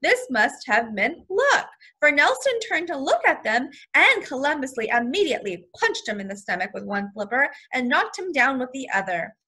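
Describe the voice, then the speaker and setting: A woman's voice: sits high, big pitch swings, moderate pace, hardly any pauses, pushed and bright, husky. A woman, a first grade teacher, reading a storybook aloud expressively and explaining things simply for children.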